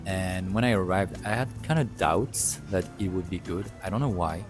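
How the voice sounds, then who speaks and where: A man's voice: low-pitched, normal speed. A young man with a French accent, talking casually about food in a rambling, chatty vlog full of fillers. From France. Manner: chatty, rambling, with many fillers and self-corrections. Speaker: food vlogger. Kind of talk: casual travel vlog narration. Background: music.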